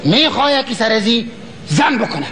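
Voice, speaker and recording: High-pitched sly Afghan fortune teller. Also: male voice, big pitch swings, gravelly, bright, forceful tone, heavily acted. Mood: solemn, sly. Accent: Kabul Afghan. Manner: theatrical, repeats phrases and exclamations. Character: sly, flattering, greedy male fortune teller. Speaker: stage comedy actor. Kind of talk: broad stage comedy acting. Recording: old stage recording with audience noise.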